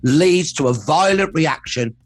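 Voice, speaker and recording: A man's voice: on the high side, expressive, measured pace. A man speaking as an expert historian, explaining emphatically with rhetorical repetition. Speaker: expert interviewee, social historian. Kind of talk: explanatory interview commentary.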